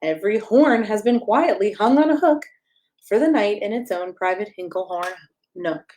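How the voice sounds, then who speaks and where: A woman with a medium-pitched voice reads a bedtime picture book aloud. She delivers the rhymes expressively and playfully, as a storyteller speaking straight to small children. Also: animated, moderate pace, darkish tone, a little hiss or hum. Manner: playful, addressing young listeners directly with questions. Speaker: pastor reading a bedtime story.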